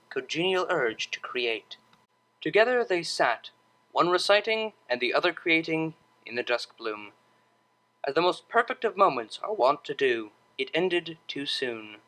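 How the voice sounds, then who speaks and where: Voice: mid-range voice, bright, forceful tone, low-quality recording. A narrator reading a piece of fiction aloud as scripted, dramatic audiobook storytelling.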